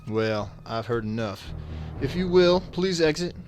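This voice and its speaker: An actor playing a weary, businesslike detective in a radio drama, firm and matter-of-fact. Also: male voice, medium pitch, lively intonation, measured pace, a little mellow, faint music behind, natural voice. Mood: probing, matter-of-fact. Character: weary, businesslike male detective questioning suspects.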